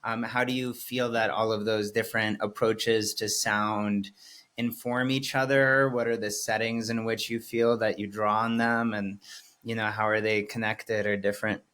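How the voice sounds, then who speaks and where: A man's voice: lowish voice, level tone, normal speed, fairly bright tone. Enthusiastic male interviewer. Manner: enthusiastic, long winding questions. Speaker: podcast host, interviewer. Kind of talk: conversational interview.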